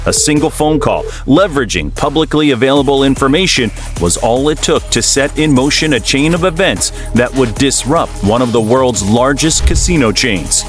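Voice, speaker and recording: A man narrates a documentary from a script with a mid-pitched voice and very lively, varied intonation. He explains things step by step and addresses the listener directly. Music plays faintly behind him. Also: moderate pace, hardly any pauses. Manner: explanatory, rhetorical questions to the listener.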